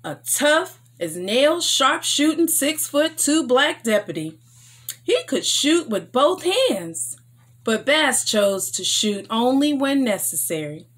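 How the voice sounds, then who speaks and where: A woman hosting a story time, reading aloud for children in an enthusiastic, expressive and emphatic way. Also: high-pitched, takes it slowly.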